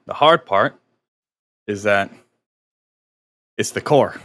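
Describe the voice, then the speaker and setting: A man's voice: on the low side, lively intonation, slow, fairly bright tone, dry recording. A young adult man chatting casually on a game stream, explaining workouts in a coaching way, often restarting his sentences.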